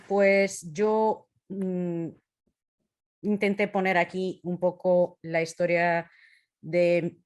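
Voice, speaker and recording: A woman in her fifties with a Portuguese accent, speaking a second language haltingly as she leads an informal presentation, searching for words. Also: low voice. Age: 50s. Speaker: presenter leading a talk on impact measurement.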